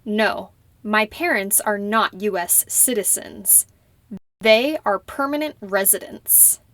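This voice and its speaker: A young woman playing a polite citizenship applicant who answers slowly and carefully in a scripted practice interview. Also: medium pitch, a bit bright, no echo. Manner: slow, careful, deliberate answers. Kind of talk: scripted role-play interview.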